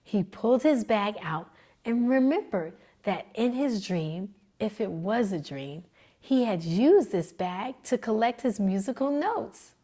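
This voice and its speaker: A woman reads a children's picture book aloud at an unhurried pace, gentle and expressive. Her voice is soft and breathy, and her pitch rises and falls in a lively way. Faint music plays behind her. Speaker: children's librarian reading aloud.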